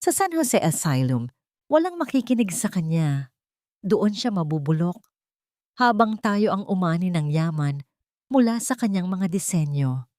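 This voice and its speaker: A woman's voice: fairly low pitch, very animated, measured pace, darkish tone, no room sound. Female narrator as older villainess mother, callous schemer, drama serial, dry close sound. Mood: cold scheming.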